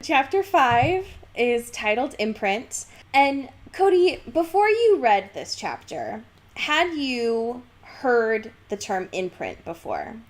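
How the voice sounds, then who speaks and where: A woman co-hosting a podcast in casual banter, animated and sweary, with dry exaggeration. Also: fairly high pitch, slow pace. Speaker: podcast co-host. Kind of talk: casual banter, book discussion.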